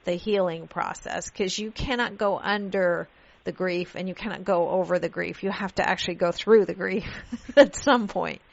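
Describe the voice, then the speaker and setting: A woman from Pakistan who grew up in London, hosting a radio interview in a chatty, expressive way. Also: lowish voice, moderate pace, gravelly, lo-fi sound. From Pakistan, raised in London. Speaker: radio show host.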